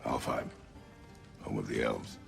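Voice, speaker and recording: Stern warrior father, rock-bottom pitch, heavy gravel, terse, commanding, game drama. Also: a little slow, soft background music, full character voice. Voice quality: gravelly, gruff.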